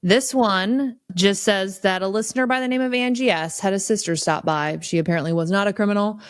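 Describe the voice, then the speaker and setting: A woman's voice: mid-range voice, a little fast, clear, resonant tone, dry recording, clean studio sound. A woman guest on a podcast, bantering casually with a blunt, wry, sweary manner.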